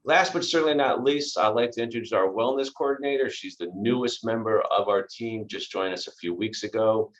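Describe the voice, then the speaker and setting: A middle-aged man with a low voice introduces staff and often repeats his words. The video call audio from his small office sounds somewhat dull. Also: brisk, hardly any pauses. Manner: repeats words, upbeat thanks.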